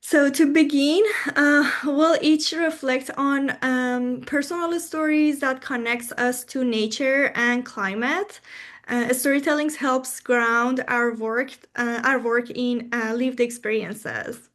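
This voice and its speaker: High voiced woman from iran, careful and measured on a call. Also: steady tone, fairly bright tone. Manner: measured, with hesitant uhs. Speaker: assistant professor of design. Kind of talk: workshop presentation. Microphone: video call.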